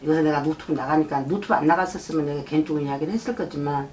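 A middle-aged woman speaks as a shaman fortune teller across a small indoor shrine office, in a lowish voice that is picked up from across the room with a faint hum of room noise behind it. She gives blunt advice and scolds in a motherly way, and she keeps checking that her client has understood. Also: racing, a little mellow. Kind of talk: fortune-telling consultation.

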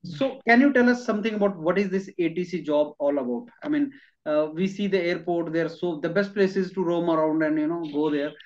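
A man's voice: a little high, a little fast. A middle-aged man with an Indian accent interviewing conversationally on a video call, rephrasing his questions and mixing in Hindi words. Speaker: interviewer, educator. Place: classroom in front of a chalkboard. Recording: dull, muffled video-call audio.

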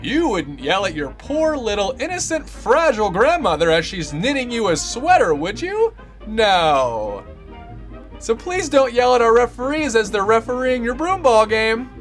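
A man's voice: very high voice, big pitch swings, unhurried, exaggerated voice. A man playing a prim, mock-polite narrator of an old-time instructional film parody, scolding in a sing-song way. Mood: prim, admonishing. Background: music.

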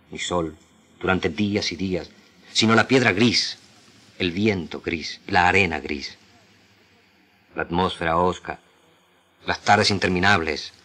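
A man giving a solemn, measured dramatic reading of literary prose, pausing between phrases. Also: deep voice, fairly bright tone, slightly rough. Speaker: radio drama actor reading literary excerpts.

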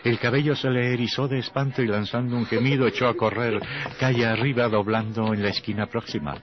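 A man's voice: medium pitch, fairly even intonation, fairly quick. A man narrating a horror radio play in a theatrical, ominous, suspenseful manner. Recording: dull lo-fi old radio recording. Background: dramatic music.

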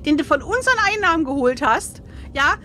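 Female podcast co-host, high and lively, cheeky mock-outrage in casual gamebook banter, slight background noise. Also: fairly quick.

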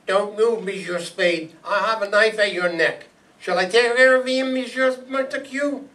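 Polite, sinister French restaurant man played by a male actor, put-on French accent, high-pitched, formal. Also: normal speed, sharp tone. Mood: menacing. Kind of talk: old-time radio acting before an audience. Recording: live stage pickup from far off.

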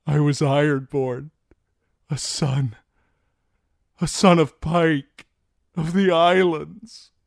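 A man's voice: mid-range voice, a little slow, dry recording, clean studio sound, pitched above the performer's own voice, lightly acted. A man voicing a broken, terrified young nobleman in an audiobook, pleading in anguish and desperation. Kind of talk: dramatic audiobook character acting.

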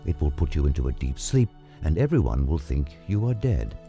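A man voicing an old friar who speaks gravely and deliberately in a story drama. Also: rumbling low, very animated, warm tone, voice pushed down, full character voice. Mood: reassuring, grave. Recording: music under the voice.

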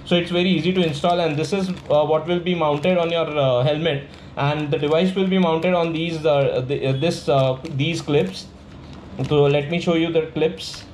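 Man, Indian accent, halting explainer, casual vlog demo, echoey indoor room, faint noise. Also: a little high, steady tone, darkish tone. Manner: halting, explanatory, repeats himself. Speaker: vlogger presenting a product unboxing. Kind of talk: casual unscripted product demonstration. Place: indoors at a tabletop.

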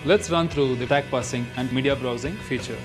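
A man's voice: medium pitch, brisk. Male demo narrator over music. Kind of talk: scripted narration.

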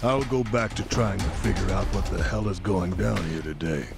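An actor playing a gruff, suspicious, menacing yakuza lieutenant in a dramatic video game cutscene. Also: male voice, deep voice, moderate pace, loud music behind the voice. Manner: gruff, challenging.

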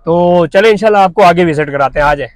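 A man's voice: high-pitched, fast talker, no room sound. Chatty man narrating a car tour. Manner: chatty salesman tone, addresses viewers directly. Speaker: property dealer vlogger. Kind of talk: driving tour commentary. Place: inside a moving car. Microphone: lapel mic.

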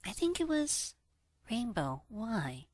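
A man voicing an earnest female nurse pony in a story, careful, measured and soft-spoken. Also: sits high, wildly swooping pitch, no room sound, studio quality, voice pushed up, heavily acted. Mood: hesitant.

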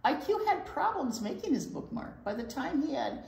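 An older woman reading a picture book aloud to children in an expressive way, with asides to young listeners. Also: high voice, normal speed, vocal fry. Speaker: library storytime reader. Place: indoor library storytime set. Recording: roomy indoor sound.

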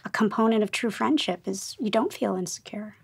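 A woman's voice: mid-range voice, fairly quick, vocal fry, slightly rough. A woman in her fifties speaking earnestly and thoughtfully in an interview. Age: 50s. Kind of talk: conversational interview. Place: dark studio.

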